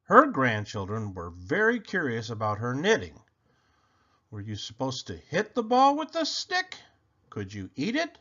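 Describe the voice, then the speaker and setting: A man reading a children's picture book aloud expressively, with theatrical, deliberate phrasing, on slightly dull, lo-fi audio. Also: medium pitch, wildly swooping pitch, sharp tone.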